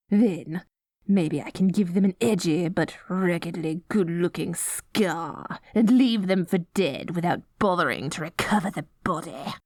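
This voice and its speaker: A woman's voice: mid-range voice, darkish tone, no room sound, studio quality. Woman playing a swooping, theatrical dorky evil queen. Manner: melodramatic, gleefully scheming, indignant outbursts. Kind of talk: scripted ASMR audio roleplay.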